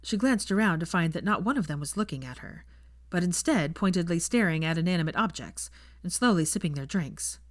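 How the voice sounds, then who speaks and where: A woman's voice: fairly low pitch, expressive, fairly quick, dry recording, clean studio sound. A woman narrating a fan fiction audiobook, reading scripted prose as a storyteller.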